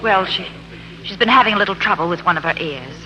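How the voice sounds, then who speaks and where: An actress playing a cold, defensive estranged wife in a 1940s radio drama, curt and cutting. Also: female voice, fairly low pitch, animated, fast, highs cut off, lightly acted. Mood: icy, defensive. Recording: hissy old radio broadcast recording.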